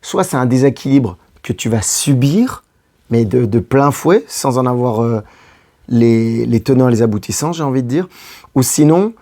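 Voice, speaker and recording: Hoarse male love coach, mellow-toned, chatty direct-to-camera advice. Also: mid-range voice, animated, normal speed. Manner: addresses the listener directly, repeating key phrases. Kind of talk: casual advice monologue to camera.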